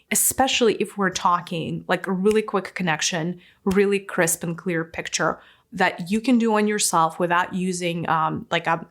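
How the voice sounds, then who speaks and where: A woman in her thirties giving a casual, animated talk to camera in a room, now and then dropping to a near-whisper for emphasis. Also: lowish voice. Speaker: spiritual teacher. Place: indoor room with plants and a window. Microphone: fixed camera, medium framing.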